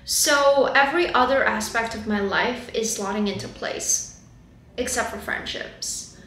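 A woman's voice: on the high side, a little slow, a bit bright, reverberant, poor audio. A woman in her twenties from China, a native Chinese speaker, talking candidly and thoughtfully to the camera about her life. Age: 20s. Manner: candid, thoughtful, gestures a lot while talking. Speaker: vlogger. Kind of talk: reflective personal talk to camera. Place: bedroom or home office, indoors.

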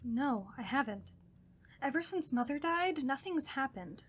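A woman's voice: high voice, expressive, measured pace, a bit bright. A woman playing an anxious, grieving young fiancée in a horror radio drama, hesitant and pleading. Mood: sad, worried. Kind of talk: old-time radio horror drama acting.

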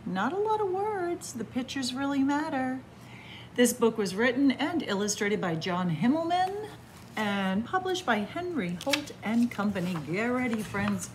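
A middle-aged woman reading a picture book aloud to children in a library, playful and animated. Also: fairly high pitch, a little slow, a little hiss or hum, low-quality recording. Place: library, in front of bookshelves. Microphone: camera at close range.

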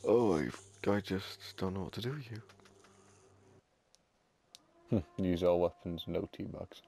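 A man's voice: deep voice, moderate pace, vocal fry, dark tone, husky. A man chatting casually with a friend while gaming, teasing him and laughing often. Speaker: gamer and video host.

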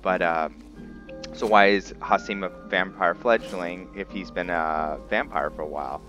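A man's voice: low-pitched, unhurried, fairly bright tone, dull audio. A man giving casual roleplay commentary on a video game, often hesitant and trailing off mid-thought. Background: background music.

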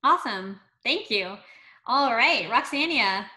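A woman's voice: fairly high pitch, animated, a little slow, bright, forceful tone. A young woman hosting a video-call Q&A panel, upbeat, with frequent fillers. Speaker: webinar host.

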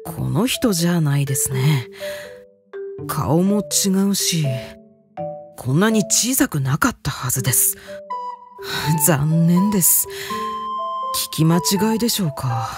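A woman's voice: low voice, lively intonation, unhurried, long pauses, music in the background. A woman playing a nervous, starstruck young male student in a voice drama, speaking formally and getting flustered. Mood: timid, awed. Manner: formal, flustered inner monologue. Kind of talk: anime-style voice drama.

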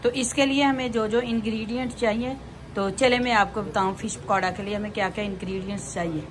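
A woman's voice: medium pitch, fairly even intonation, a little fast. A woman giving a casual step-by-step cooking demonstration in a home kitchen, often repeating her phrases as she shows each step. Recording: noisy home kitchen recording.

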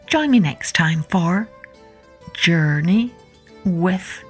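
A woman narrating a children's storybook as a podcast host, in an expressive, deliberate manner. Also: lowish voice, soft background music.

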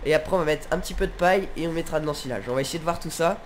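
Male gaming host, higher voice, nasal with a cold, casual thinking-aloud commentary, faint background noise. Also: racing. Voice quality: congested, nasal. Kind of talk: casual let's play commentary.

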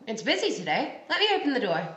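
A woman in her twenties playing a wry, sweet young pantomime heroine with deadpan asides, recorded in an echoey room. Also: a little high, fast talker, dull audio, very noisy. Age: 20s. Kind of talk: pantomime comedy. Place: brick-walled room, indoors. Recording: echoey room on video call.

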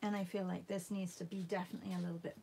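A woman giving a casual painting demo, thinking aloud in a halting, musing way and murmuring to herself as she works. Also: fairly low pitch, flat delivery, vocal fry, mellow, slight background noise. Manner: halting, musing, murmurs to self while working. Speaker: art demonstrator. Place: indoors at a painting table.

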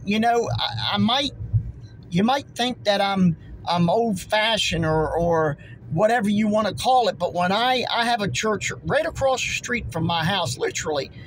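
A man in his forties, hoarse from losing his voice, preaching earnestly and emphatically to a phone camera from inside his car. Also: sits high, a bit bright, a little hiss or hum, rough recording. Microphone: phone held close.

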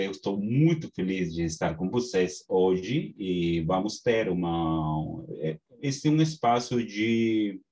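A man from Peru with a Peruvian Spanish accent, teaching an online class in Portuguese with slow, halting speech and many restarts, over a video call from a small home study. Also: lowish voice, a little mellow, dull audio. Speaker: course instructor. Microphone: webcam on video call.

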